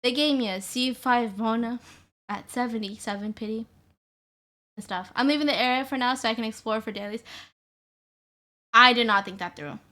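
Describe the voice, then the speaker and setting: A woman's voice: fairly high pitch, moderate pace, long pauses, bright, forceful tone. A woman streaming live, chatting casually and upbeat with her viewers, greeting them and laughing often.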